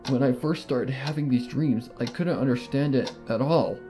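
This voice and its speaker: A nervous little baby dragon, as a male narrator reads him. His halting, shaken speech keeps trailing off, set against a faint bed of music. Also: medium pitch, mellow, lightly acted. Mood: haunted. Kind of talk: audiobook reading of fan fiction.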